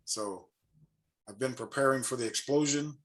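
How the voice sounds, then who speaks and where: A man gives an update on a video call, speaking in a measured way with a few restarts. His pitch is middling for a man. He sounds like a tax planning advisor talking to peers. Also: crowd noise. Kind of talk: casual online networking call.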